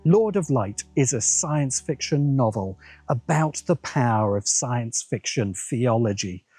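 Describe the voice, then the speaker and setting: A man hosting and narrating a scripted video essay in a measured way, with wry asides. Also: mid-range voice, expressive, warm tone, breathy edge, no echo, faint music behind. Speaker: host.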